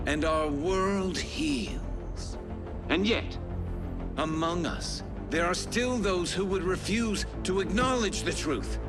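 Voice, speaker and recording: High-ish pompous regime spokesman. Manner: oratorical, deliberate, pompous. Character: smooth authoritarian male regime spokesman. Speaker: propaganda spokesman. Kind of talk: televised political address. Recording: loud music behind. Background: music.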